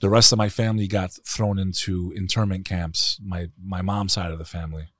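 A man with a very deep voice and a New York accent, speaking as a podcast guest in passionate, emphatic conversation. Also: flat delivery, fairly quick, a bit bright, no echo, studio quality. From United States.

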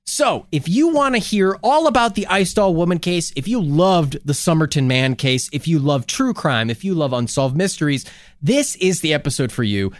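A man's voice: on the high side, lively intonation, normal speed, dry recording. A man with a Chicago accent co-hosting a podcast in a rambling, joking way. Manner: jokey, rambling, self-interrupting. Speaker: podcast co-host. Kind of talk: casual comedic chat.

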